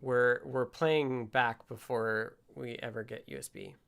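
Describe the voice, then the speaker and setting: A man with a creaky, gravel-edged voice at a middling pitch, hosting a casual programming livestream. He thinks aloud in halting bursts, often muttering to himself as he works at his desk. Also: big pitch swings, fairly bright tone. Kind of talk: casual live coding stream, thinking aloud. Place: indoors at a desk with computer.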